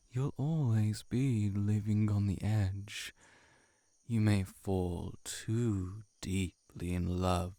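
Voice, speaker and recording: A man voicing a cynical, seductive heart-eating shapeshifter monster in an intimate audio roleplay, sardonic and measured, sometimes near a whisper. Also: deep voice, gravelly, mellow, no room sound, heavily acted.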